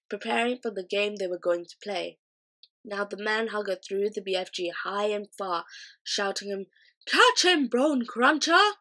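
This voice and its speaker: A woman narrating a children's story aloud in an expressive, steady way. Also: medium pitch. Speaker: audiobook reader.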